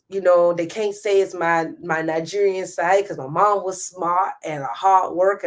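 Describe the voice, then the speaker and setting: A woman in her thirties hosting a live stream, giving a passionate motivational talk straight to her viewers. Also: on the low side. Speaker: host.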